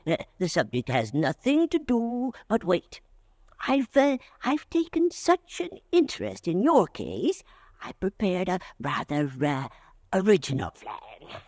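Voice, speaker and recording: An eerily courteous bringer of retribution, stammering softly and chuckling to himself. His pitch swoops widely. The old radio recording is dry and close. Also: no echo. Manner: silky, giggling, hesitant with "uh". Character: creepy polite male retribution agent, menacing. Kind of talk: 1940s radio acting.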